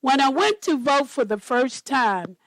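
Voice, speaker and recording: A woman storyteller reading a children's picture book aloud in a deliberate manner. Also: high-pitched, sing-song, no room sound, rough recording.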